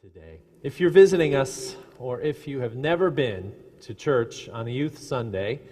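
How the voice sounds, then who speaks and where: A man's voice: mid-range voice, sing-song, moderate pace, a little mellow, breathy edge. A man speaking as a minister, welcoming his congregation during a church service. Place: large indoor church sanctuary. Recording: echoey church hall with congregation.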